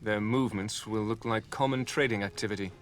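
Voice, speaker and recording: An actor playing an ambitious, scheming military commander in a fantasy game, speaking in a formal, declamatory way. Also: male voice, fairly low pitch, fairly even intonation, brisk, soft background music, natural voice. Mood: scheming, resolute.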